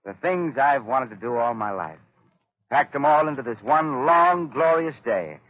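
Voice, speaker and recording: An actor playing a dying, mad inventor who is bitter and vengeful, in a theatrical, grandiose 1930s radio drama style. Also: male voice, medium pitch, animated, unhurried, lightly acted. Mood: gleeful, defiant. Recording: dull vintage 1930s radio recording.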